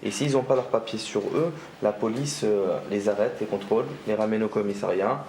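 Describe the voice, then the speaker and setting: A man giving an interview, calmly explaining. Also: on the low side, brisk, a little hiss or hum. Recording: roomy with music behind.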